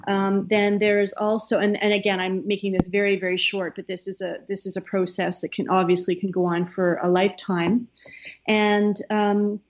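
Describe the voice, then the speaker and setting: A middle-aged woman from Canada, a soft-spoken guest who talks with many fillers and pauses. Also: mid-range voice, little pitch movement. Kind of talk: casual interview talk. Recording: slightly dull, lo-fi sound.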